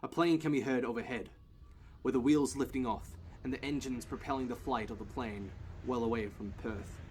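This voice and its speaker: Male narrator for audio drama, mid-range pitch, reading scripted scene description. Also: fairly even intonation, moderate pace, a little mellow, breathy edge.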